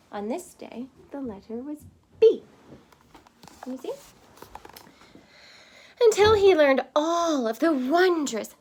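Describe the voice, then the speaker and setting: A woman reading a picture book aloud to children, expressive and stopping to explain words. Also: a little high. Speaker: storybook reader.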